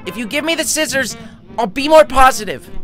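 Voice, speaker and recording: A man's voice: very high-pitched, lively intonation, brisk, a bit bright, faint music behind, voice pushed up. A man voicing a heartbroken young American student in an audio story, whiny, sarcastic and melodramatic. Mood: panicked, pleading.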